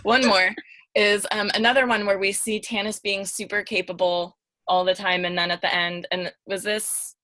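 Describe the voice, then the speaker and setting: A woman's voice: mid-range voice, flat delivery, normal speed, bright, forceful tone, dry recording. A woman talking informally as a podcast panelist, thinking aloud and searching for her words as she goes. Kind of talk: casual unscripted discussion.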